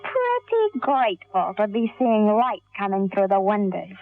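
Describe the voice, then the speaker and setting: An actress playing a scheming, hard-boiled gangster moll with a tough, wry, slangy delivery in an old radio crime drama. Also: female voice, mid-range voice, very animated, a little slow, no room sound. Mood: wry, calculating. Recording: tinny old radio broadcast, music behind.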